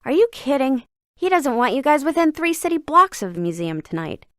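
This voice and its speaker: A brisk, learned female curator from a video game. Her voice sits fairly high and is recorded very dry. She speaks articulately, with a scholar's precision and a sardonic edge. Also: very animated, fairly bright tone, no echo. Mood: dryly annoyed. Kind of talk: scripted video game dialogue.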